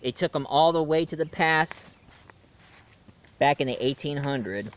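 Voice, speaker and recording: A man's voice: fairly high pitch, unhurried. A man giving a casual spoken film review, chatty and jokey as he retells the plot.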